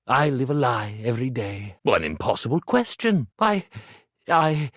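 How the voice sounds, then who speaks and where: A pompous, theatrical stage magician from a video game, swooping dramatically up and down in pitch. The delivery is florid and grandiose, full of weighty pauses, with a melodramatic insecurity underneath. The recording is close and dry. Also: male voice, big pitch swings, no room sound. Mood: anguished, confessional. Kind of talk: video game character acting.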